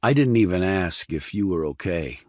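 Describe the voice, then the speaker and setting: A stoic military investigator from an audiobook thriller, played by a man. He is terse and low-key, with a fairly low voice. The sound is dry and close. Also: lively intonation, moderate pace, no echo. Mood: concerned, subdued. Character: stoic, terse male army investigator, quietly considerate.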